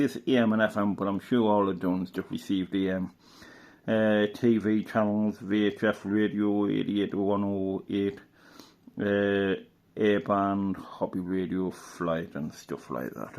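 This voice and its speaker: Rambling middle-aged British man, lowish voice. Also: a little mellow. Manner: rambling and unhurried, with many fillers and restarts. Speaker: radio hobbyist leafing through a catalogue. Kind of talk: casual reminiscing commentary.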